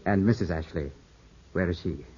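Intense young English heir, low, husky. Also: male voice, normal speed, mellow. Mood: suspicious, tense. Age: 20s. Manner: clipped, intense, questioning. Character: grieving, suspicious young English heir of twenty-four. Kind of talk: 1950s radio drama acting. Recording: muffled, scratchy 1950s radio broadcast.

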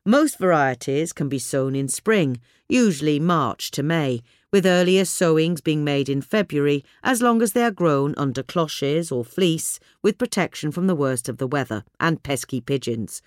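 A woman reading a gardening magazine article aloud in a measured, clear way. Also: sits low, lively intonation, no room sound, studio quality. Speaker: reader. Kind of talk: scripted narration of a gardening article.

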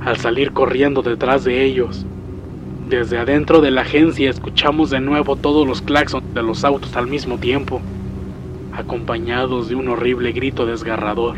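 Guardia nocturno aterrado, interpretado por un hombre. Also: male voice, mid-range voice, flat delivery, moderate pace, background noise, close to an everyday voice. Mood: shaken, recounting. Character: terrified night watchman, a father breaking down in panic. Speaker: storyteller in a horror story dramatization. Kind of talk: dramatized first-person horror narration. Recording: music behind the voice.

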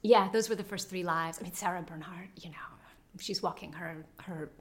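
A woman with a fairly low voice talks about her writing in a relaxed interview. She pauses a lot and fills the gaps with "um" while she thinks. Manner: thoughtful, hesitant, many pauses and fillers. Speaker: novelist being interviewed. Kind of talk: reflective conversational interview.

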